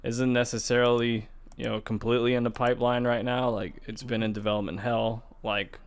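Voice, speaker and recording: A man's voice: medium pitch, little pitch movement, brisk, clean tone. A man co-hosting a podcast in casual, conversational gaming chat. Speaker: podcast co-host.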